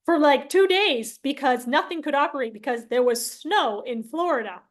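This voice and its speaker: A woman's voice: high voice, expressive, normal speed. A woman hosting an interview as a casual chat, informal and emphatic, repeating phrases for emphasis. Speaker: interview host.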